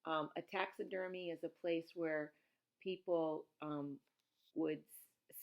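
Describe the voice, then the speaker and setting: Low-pitched woman reading a story aloud. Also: vocal fry. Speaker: reader of a children's novel who pauses to explain words. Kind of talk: expressive read-aloud storytelling with informal asides.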